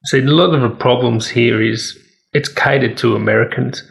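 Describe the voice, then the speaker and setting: A man's voice: medium pitch, fairly even intonation, moderate pace, vocal fry, fairly bright tone. A man giving a casual, opinionated product review as a tech reviewer, speaking candidly.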